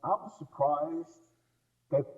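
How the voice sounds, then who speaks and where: A man's voice: a little high, steady tone, drawn-out words, darkish tone, muffled recording. A middle-aged man talking casually about films in a blunt, emphatic way, given to swearing. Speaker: podcast guest co-commentator. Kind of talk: casual film discussion.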